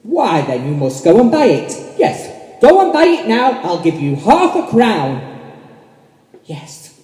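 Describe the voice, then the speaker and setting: Casting: performer acting an exuberant, pleading old miser for a radio play, unhurried and mellow, with emphatic repeats. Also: medium pitch, huge pitch swings. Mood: giddy, overjoyed. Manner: theatrical, emphatic, repeats phrases. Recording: echoey hall, long reverb.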